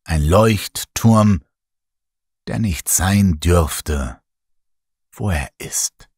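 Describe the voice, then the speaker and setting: Man, horror audiobook narrator, low husky voice, very slow, dry close sound. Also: no echo. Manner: slow, hushed, dramatic storytelling.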